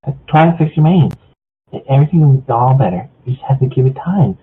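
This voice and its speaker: A man voicing a timid, gentle female cartoon pony who speaks hesitantly with a stammer. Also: mid-range voice, expressive, fast, narrow, tinny audio, a little hiss or hum, pitched above the performer's own voice, close to an everyday voice. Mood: gentle, nervous.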